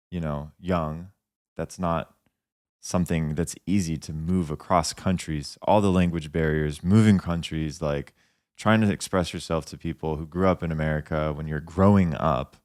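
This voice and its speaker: A man's voice: low voice, steady tone, unhurried, darkish tone. A man hosting a podcast interview, casually summing up and reflecting back his guest's story. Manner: empathetic, sums up the guest's story. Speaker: podcast host.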